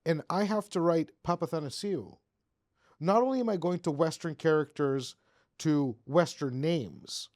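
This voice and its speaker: Animated Greek-born man hosting an interview. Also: fairly high pitch, measured pace, dry recording, studio quality. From Greece. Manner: animated, builds examples with rising emphasis. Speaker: podcast host. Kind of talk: conversational interview.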